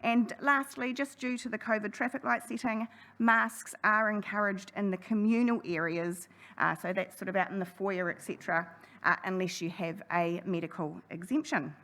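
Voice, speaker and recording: A woman chairing a council hearing, running through procedure in a brisk, friendly way. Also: mid-range voice, fairly even intonation, sharp tone. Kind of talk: formal meeting chairing. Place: council chamber.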